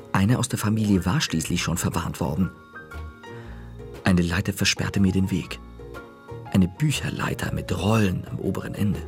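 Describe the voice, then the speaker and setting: A man narrating a children's story in the first person, calm, dropping to a whisper in quiet moments. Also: sits low, vocal fry.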